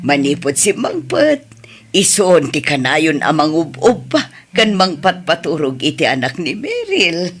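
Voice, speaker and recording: A woman playing a worried mother in an Ilocano audio drama, speaking with an Ilocano accent, slowly and sorrowfully. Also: low-pitched, huge pitch swings, slightly rough, exaggerated voice. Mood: fond. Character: worried Ilocano mother grieving over her daughter.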